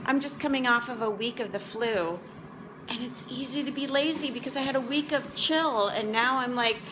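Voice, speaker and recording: A woman's voice: on the high side, moderate pace, noisy background. A woman in her forties chatting casually to viewers as a motivational vlog host, talking in a rambling, conversational way. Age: 40s. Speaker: motivational vlog host and food prep coach. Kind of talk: casual chat to camera.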